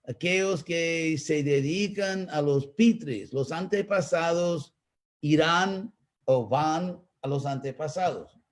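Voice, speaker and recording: A man with an American accent, a native English speaker, teaching in a live online talk and answering questions, pausing to search for words. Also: fairly high pitch. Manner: slow, searching for Spanish words with pauses. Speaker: spiritual teacher.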